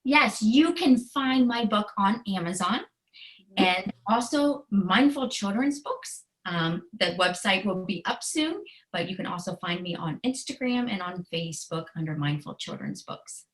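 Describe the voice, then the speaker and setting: Slow-paced woman, earnest children's author. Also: medium pitch, lively intonation, low-quality recording. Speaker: children's book author reading her book. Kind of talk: storybook read-aloud and explanation.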